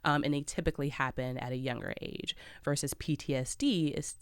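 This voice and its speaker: A woman's voice: sits low, steady tone, fairly quick, smooth, no room sound, studio quality. A woman from Chicago, a podcast guest speaking openly and reflectively, often laughing lightly through difficult subjects. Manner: reflective, open, laughs off hard topics. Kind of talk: candid personal interview talk.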